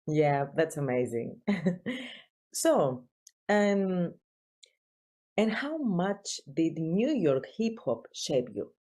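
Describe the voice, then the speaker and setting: Slow, low-voiced woman host. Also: very animated, mellow. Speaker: podcast host. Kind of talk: interview questions over video call. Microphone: video call.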